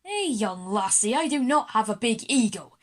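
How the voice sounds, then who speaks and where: A woman's voice: a little high, very animated, normal speed. A woman playing an egotistical, bossy male pirate general in a kids' audio drama, with a pompous, theatrical manner. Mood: defiant.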